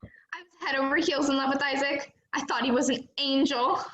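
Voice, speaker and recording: A young woman in an amateur stage play, acting a melodramatic, chatty runaway high school senior who tells her story earnestly. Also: fairly even intonation, moderate pace, bright, forceful tone, dull audio. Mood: dramatic, confiding. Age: young adult. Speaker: student actor in a play. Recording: roomy, echoing sound.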